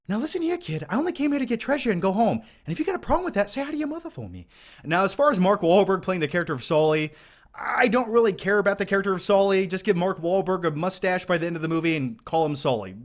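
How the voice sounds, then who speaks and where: A man in his thirties hosting a casual scripted movie review in a playful, sarcastic, mock-serious manner. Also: high voice. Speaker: film review video host.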